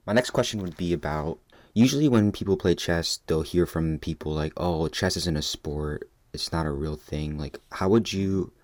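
Man with an extremely low voice, dry close sound, casual interviewer. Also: normal speed, darkish tone, dry recording. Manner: casual, affirming, sums up answers before asking. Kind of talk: interview questions.

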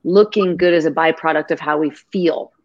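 A woman's voice: low voice, steady tone, moderate pace, highs cut off. A woman guest on a video-call interview, talking in an effusive, grateful and rambling way. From half Greek, half Irish family. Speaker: podcast guest.

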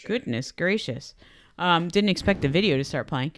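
Woman chatting sports, lowish voice that jumps around a lot, super emphatic. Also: expressive, normal speed. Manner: emphatic, repeats points for effect. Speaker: sports talk show co-host. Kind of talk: casual sports chat.

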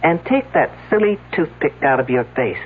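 A woman's voice: low voice, animated, a little hiss or hum. Wisecracking woman detective, tinny old radio. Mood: sardonic. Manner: sardonic, brisk questioning. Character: wisecracking, skeptical, tough woman police detective. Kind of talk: 1970s radio drama acting.

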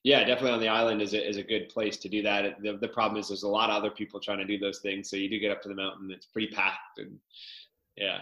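A man's voice: on the low side, flat delivery, fast talker, pushed and bright, highs cut off. A man hosting a podcast interview, upbeat and enthusiastic, with loose filler-filled questions. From Canada. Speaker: podcast host. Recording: echoey room.

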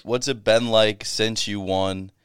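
A man's voice: lowish voice, steady tone, takes it slowly, clear, resonant tone, no room sound, studio quality. A man hosting a podcast, asking questions in a casual, loose way with free swearing. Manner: casual, swears freely, laughs often. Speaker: podcast host. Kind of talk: casual interview chat.

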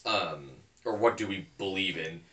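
A man co-hosting a casual podcast, animated and freely profane. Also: low-pitched, normal speed, far from the mic, a little hiss or hum. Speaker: podcast co-host. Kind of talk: casual chat.

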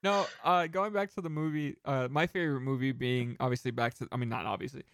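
Mid-pitched man in casual banter. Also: steady tone, quick pace, a bit bright. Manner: joking, swears freely. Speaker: podcast co-host. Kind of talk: casual chat about movies.

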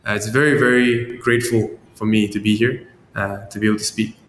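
A man's voice: fairly even intonation, brisk, a bit bright. A man from Malaysia in his thirties, a startup founder answering interview questions thoughtfully, with many fillers and tag questions. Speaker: startup founder and CEO guest. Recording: echoey room with long reverb, faint rumble.

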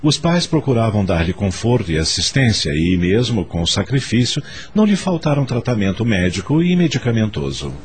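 A man's voice: on the low side, very animated, normal speed, hardly any pauses. A man giving solemn, literary narration for a radio drama in a dramatic storytelling style. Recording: music behind the narration.

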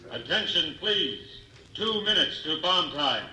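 An actor playing a stern military announcer giving formal, clipped orders in a 1950s radio drama. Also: male voice, a little high, animated, measured pace. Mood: urgent, official. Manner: formal, clipped public-address delivery. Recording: noisy, echoing 1950s radio broadcast recording.

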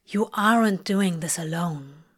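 A woman voicing a laid-back, plain-spoken man in a storybook, gently reassuring and a little hesitant. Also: a little slow, breathy edge. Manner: low-key, matter-of-fact, hesitant.

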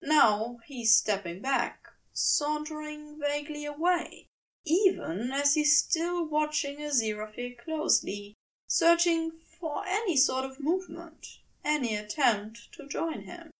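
A woman reading a scripted story as narrator, in an expressive and deliberate storytelling manner. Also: sits high, recorded from a distance, rough recording. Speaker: podfic narrator.

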